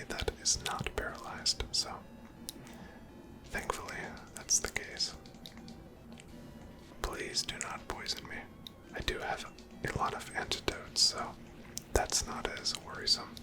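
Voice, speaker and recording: A person whispering gentle, chatty ASMR commentary over a video game, breathy and close to the microphone. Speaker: gameplay host.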